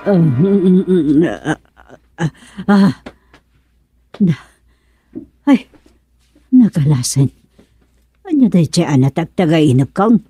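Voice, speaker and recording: A woman's voice: fairly low pitch, lively intonation, takes it slowly, long pauses, dark tone, slightly rough, faint music behind, full character voice. A woman playing a grumbling, bossy older wife in an Ilocano radio comedy-drama, with an Ilocano accent. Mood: dismayed.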